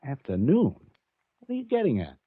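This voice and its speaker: A man's voice: medium pitch, wildly swooping pitch, normal speed, slightly rough, dry recording. An actor playing a frustrated, plain-talking American betting man in a 1940s radio drama. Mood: brisk, intent. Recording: dull, dry 1940s radio recording.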